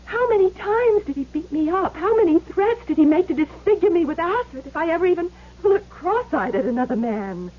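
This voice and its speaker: An actress playing a devoted, emotional gambler's sweetheart in an old-time radio drama, earnest and emphatic. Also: female voice, very high-pitched, animated, a little fast, hardly any pauses. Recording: tinny, narrow-band 1970s radio recording.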